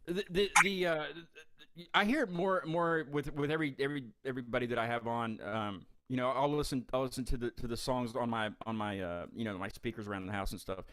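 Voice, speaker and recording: A man's voice: mid-range voice, quick pace, sharp tone. A man hosting a casual video-call interview, stammering and repeating words with eager enthusiasm. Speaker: host of a live music show. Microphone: headphones with mic on a video call.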